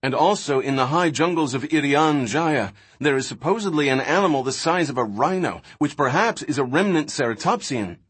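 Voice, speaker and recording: A man's voice: fairly high pitch, lively intonation, moderate pace, few pauses, fairly bright tone, breathy edge, pitched above the performer's own voice. A man voicing a pushy, eager male academic in an audiobook, speaking with earnest insistence. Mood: insistent.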